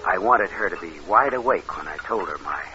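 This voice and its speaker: A calculating killer who tells his own story in a low, cold voice. It is vintage radio thriller acting, with a scheming edge. The recording is dull and heavy with noise. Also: expressive, fairly quick. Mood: cold, matter-of-fact.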